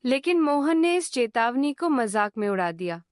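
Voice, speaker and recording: A woman narrating a moral cartoon story in a calm, measured voice-over. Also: sits high, no room sound, studio quality.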